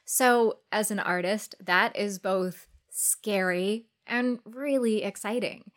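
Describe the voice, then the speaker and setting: A woman's voice: mid-range voice, slow, fairly bright tone. A woman guest-hosting a podcast, talking in a thoughtful, articulate, conversational way. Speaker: guest host, writer and actress.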